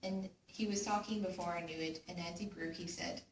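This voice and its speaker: A woman's voice: lowish voice, steady tone, brisk. A young woman narrating scripted dramatic pieces as a student reader in a readers theater. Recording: echoey room, distant mic, faint noise.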